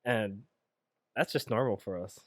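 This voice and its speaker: A man's voice: on the low side, measured pace, no echo. Chill guy raised in hawaii, says bro a lot, dry close mic sound. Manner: relaxed, swears casually, says "bro". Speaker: podcast co-host. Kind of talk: casual chat.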